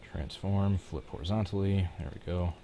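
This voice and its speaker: A man's voice: low-pitched, fairly even intonation, normal speed, dark tone, a little hiss or hum. A man with a Midwestern American accent, chatting casually and reacting live to a race as a stream host.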